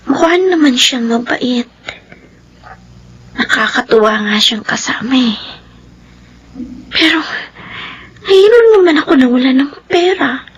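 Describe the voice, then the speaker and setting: A woman's voice: high voice, animated, unhurried, pauses often, slightly rough, highs cut off, full character voice. A kind, worried young woman. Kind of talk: radio drama acting.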